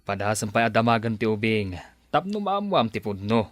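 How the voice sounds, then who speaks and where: A man's voice: on the low side, sing-song, moderate pace, no echo. A man acting in a radio drama as a sensible, questioning boss and loyal friend, speaking expressively. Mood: probing.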